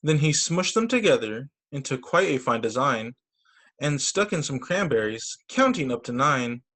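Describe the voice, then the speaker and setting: A man reading children's picture books aloud as a story time teacher, in an expressive, sing-song storytelling manner with short asides, over a video call. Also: mid-range voice, moderate pace.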